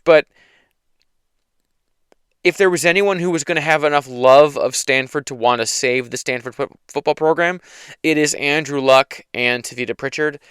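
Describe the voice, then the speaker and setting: A man hosting a casual solo sports talk show, hoarse from yelling, opinionated and rambling with frequent restarts. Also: mid-range voice, no echo, studio quality. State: hoarse, losing his voice. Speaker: podcast host.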